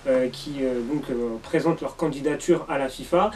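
A man's voice: medium pitch, moderate pace, a little mellow. A young man hosting a casual round-table discussion. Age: young adult. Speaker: host. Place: small meeting room around a table. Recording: distant mic with background noise.

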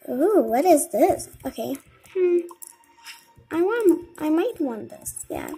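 A woman's voice: high voice, big pitch swings, slow, creaky, mellow, faint music behind. A young girl giving casual gameplay commentary, thinking aloud in a childlike murmur to herself. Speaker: gamer. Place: indoors at a computer, screen filmed by camera.

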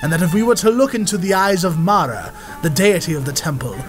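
Bold explorer, old-time radio serial, high grand voice, music behind. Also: male voice, lively intonation, fast talker, a bit bright. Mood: confident. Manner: grand, confident storytelling. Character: bold, world-famous male explorer, Harrison Hightower. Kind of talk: 1930s-style radio drama acting.

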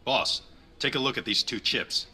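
A man's voice: medium pitch, moderate pace, bright, forceful tone, breathy edge, soft background music. A man playing a loyal, deferential gang henchman in a video game cutscene. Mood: dutiful.

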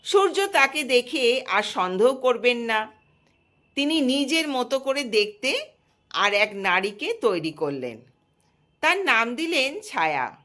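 A woman storyteller narrating a scripted mythological tale in an expressive, dramatic manner. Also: fairly high pitch, slow.

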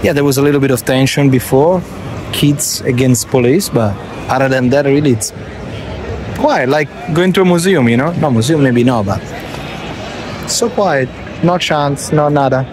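Excitable man vlogging outside a stadium. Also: mid-range voice, moderate pace. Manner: excitable, chatty, repeats "look at that". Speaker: football vlogger. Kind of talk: casual walking vlog. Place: outdoors at night by a stadium. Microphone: phone camera held at arm's length. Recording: loud street and crowd noise.

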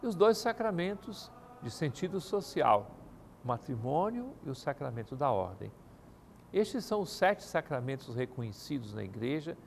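A man's voice: medium pitch, gravelly, a little hiss or hum. Older man giving a formal pastoral talk. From Brazil. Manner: measured, formal pastoral address. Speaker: archbishop answering viewers' questions. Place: TV studio set. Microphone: studio mic, seated at desk.

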